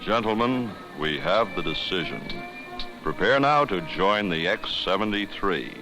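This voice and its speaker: A man playing a solemn starship captain with a formal, deliberate delivery in a 1970s educational film. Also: low-pitched, animated, music in the background, low-quality recording. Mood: grave, commanding. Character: solemn male starship captain leading an alien survey expedition. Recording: vintage 1970s film soundtrack.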